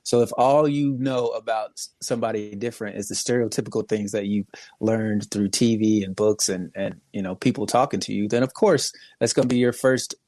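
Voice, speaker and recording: A man's voice: on the low side, fairly even intonation, fairly quick, darkish tone, dry recording. A man who is a guest on a podcast, talking in an emphatic, explanatory way in casual discussion.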